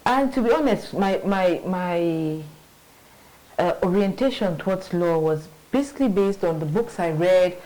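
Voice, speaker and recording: A woman's voice: lowish voice, lively intonation, measured pace, mellow, low-quality recording. A middle-aged woman, telling her career story in an interview, thoughtful, with many restarts and "you know". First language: English. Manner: reflective, hesitant restarts, frequent "you know", laughs easily. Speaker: interview guest, marketing manager. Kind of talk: conversational interview.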